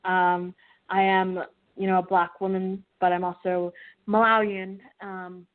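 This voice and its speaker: A woman's voice: medium pitch, little pitch movement, measured pace. A young woman from the American Midwest, a filmmaker and student being interviewed, speaking reflectively with many fillers and restarts. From Midwestern US, Wichita, Kansas. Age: young adult. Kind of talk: interview over a call. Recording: tinny phone-like line.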